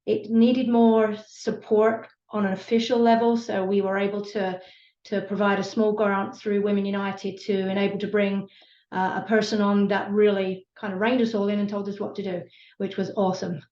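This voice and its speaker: A woman's voice: mid-range voice, little pitch movement, normal speed, darkish tone. A British woman speaking earnestly and passionately about her community work, with plenty of ums and uhs. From Britain. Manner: earnest, passionate, many fillers. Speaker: community support team member. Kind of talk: online panel conversation. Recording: echoey room, dull lo-fi sound.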